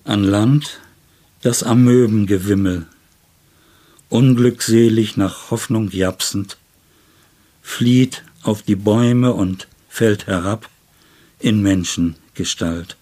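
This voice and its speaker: A man's voice: fairly low pitch, slow, pauses often, warm tone. An older man, a poet being interviewed, speaking in a reflective way. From Germany. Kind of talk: reflective interview talk and poetry reading.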